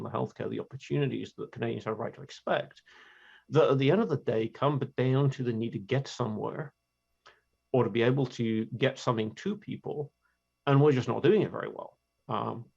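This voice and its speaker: A man's voice: medium pitch, gravelly, lo-fi sound. A British man from the United Kingdom, president of a transit advocacy group, explaining his views earnestly in a roundtable discussion over a video call.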